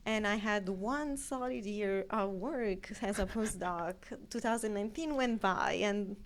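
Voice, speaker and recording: A woman's voice: mid-range voice, unhurried. Chatty Italian woman telling her story. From Italy. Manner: chatty, tangent-prone, self-aware. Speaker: guest scientific editor. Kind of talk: conversational interview storytelling.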